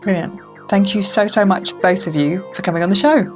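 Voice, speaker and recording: A woman's voice: mid-range voice, brisk, faint music behind. A woman hosting a podcast as a casual interview, with brief, appreciative interjections. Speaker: podcast host.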